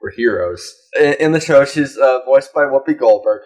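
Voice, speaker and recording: A man's voice: mid-range voice, lively intonation, moderate pace, darkish tone. A young man co-hosting a podcast, rambling through crude jokes with lots of fillers and laughs. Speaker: podcast co-host. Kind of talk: casual comedic chat.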